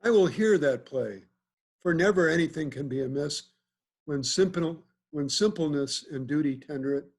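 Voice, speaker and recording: A genial Athenian duke played by a man speaks in stately Shakespearean verse. His voice sits a little high, with the lordly ease of a ruler in a stage play read over a video call. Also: normal speed. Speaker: amateur reader in a play. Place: remote video call, reading from home.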